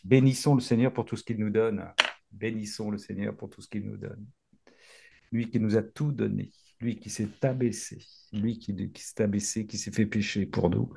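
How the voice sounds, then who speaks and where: A man's voice: on the low side, gravelly, breathy edge. A man leading a prayer reading group, reciting psalms fervently and encouraging the others as he goes. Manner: fervent, warm encouraging responses.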